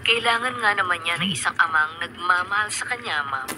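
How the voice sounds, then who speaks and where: Doting, burdened mother, Filipino actress, lively intonation, radio drama with heavy background noise. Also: on the low side, a little fast, lightly acted. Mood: bitter, guilty. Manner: expressive, mixes English into Tagalog. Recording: very noisy radio drama recording, clicks.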